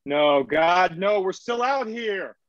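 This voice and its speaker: A man's voice: high-pitched, animated, slow. A man playing an urgent, commanding colony leader rallying people in a screenplay table read. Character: Lydecker, urgent commanding male leader rallying a frightened crowd. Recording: roomy, dull lo-fi audio.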